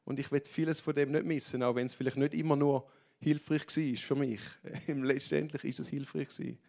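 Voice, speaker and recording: A man's voice: medium pitch, steady tone, brisk, gravelly, darkish tone. A man with a broad Graubünden Swiss German accent, giving a heartfelt, candid talk to a church audience. He speaks in loose restarts and moves between humor and being moved. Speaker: church leader speaking on stage.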